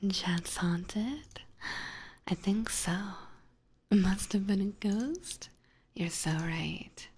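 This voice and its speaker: A woman livestreaming ASMR, chatting gently to her viewers in a soft, breathy whisper close to a binaural microphone. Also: mid-range voice, animated, extremely slow. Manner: gentle, chatty, giggly, addressing chat.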